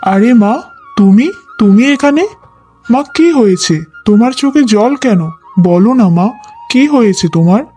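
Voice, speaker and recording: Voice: medium pitch, lively intonation, a little slow, pauses often, mellow, faint music behind, lightly acted. A performer voicing a kind young husband and devoted son in an emotional cartoon, soothing and earnest. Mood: concerned. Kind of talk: emotional cartoon story acting.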